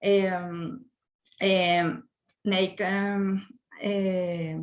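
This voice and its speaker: Very slow, hesitant Colombian woman. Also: mid-range voice, level tone. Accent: Colombian Spanish. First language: Spanish. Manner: hesitant, searching for English words, many fillers. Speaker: workshop participant. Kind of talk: halting discussion contribution.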